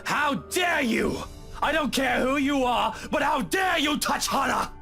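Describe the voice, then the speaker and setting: A man voicing a frantic, protective young man who pleads and shouts at an intruder. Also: extremely high pitch, lively intonation, unhurried, vocal fry, soft background music, pitched above the performer's own voice, heavily acted. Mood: furious. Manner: pleading, then shouting in anger.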